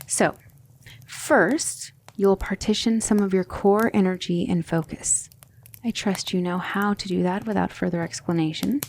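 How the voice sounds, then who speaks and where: A woman playing a patient, exacting demonic magic tutor in an ASMR roleplay, speaking in a measured, formal and gentle way. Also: medium pitch. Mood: careful instruction.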